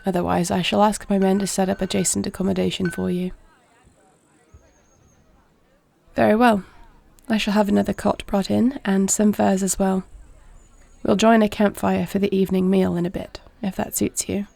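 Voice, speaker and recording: A woman playing a duty-bound, caring warrior princess in an audio roleplay, speaking to the listener in a formal, measured, creaky voice. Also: medium pitch, level tone, a little hiss or hum. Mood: composed, wry. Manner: formal, measured, soft-spoken speech.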